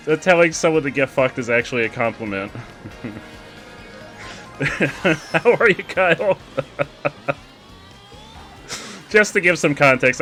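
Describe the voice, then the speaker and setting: A young man casually commenting on a kart race while chatting with his viewers, excitable and quick to laugh. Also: mid-range voice. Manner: excitable, giggly, chats with viewers. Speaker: streamer.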